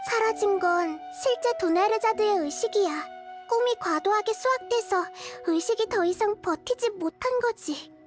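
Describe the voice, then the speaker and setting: A woman playing a small, wise child deity in a game, speaking in a calm, measured, thoughtful way. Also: very high voice, over music. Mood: calm, explaining. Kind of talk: scripted game character dialogue.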